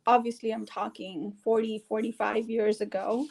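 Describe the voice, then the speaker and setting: A woman's voice: a little high, level tone, moderate pace, gravelly, a crowd in the background, low-quality recording. A middle-aged woman from India with an Indian English accent, telling her life story in an interview, with many fillers and restarts. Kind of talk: casual conversational interview.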